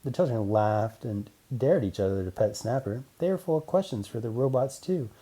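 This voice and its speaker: A children's audiobook narrator reading a story with deliberate, storybook phrasing. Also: mid-range voice, expressive, warm tone, husky.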